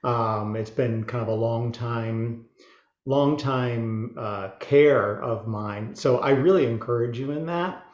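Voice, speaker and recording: A man's voice: mid-range voice, a little mellow. A man asking a question on a video call, stammering and repeating words with many "um"s. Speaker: audience guest. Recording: dull echoey room.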